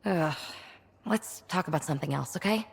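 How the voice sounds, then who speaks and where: Game explorer: bold yet caring woman, slightly deep, expressive, heard with a reverberant tail. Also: normal speed, creaky, slightly rough, exaggerated voice. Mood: concerned. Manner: informal, earnest, expressive. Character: daring, caring woman scientist-explorer, excitable about ancient technology. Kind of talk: video game voice acting.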